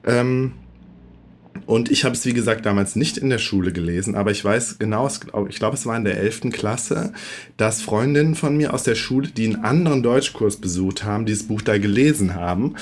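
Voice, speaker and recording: A hoarse man with a cold, co-hosting a casual podcast chat and explaining things at length with many hesitations. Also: on the low side, brisk. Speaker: podcast co-host.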